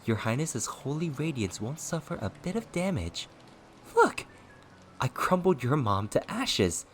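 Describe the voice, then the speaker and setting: A man's voice: low-pitched, sing-song, measured pace, a little hiss or hum. A man voicing a gleefully unhinged, cocky male ghost who mocks and taunts in an audiobook story. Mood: childish mockery. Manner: cocky, mocking, manic, shouting and swooping.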